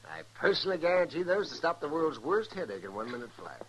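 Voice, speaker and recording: An actor playing a crotchety, mischievous old frontier doctor with a folksy American accent and a chuckling manner, in old-time radio western style. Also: male voice, fairly high pitch, sing-song, fast talker. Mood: jovial, sly. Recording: noisy old radio recording.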